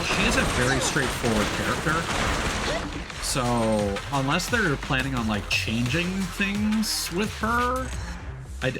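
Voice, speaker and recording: Low-voiced man chatting through a game stream. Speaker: streamer, VTuber. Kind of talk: casual live game-stream commentary.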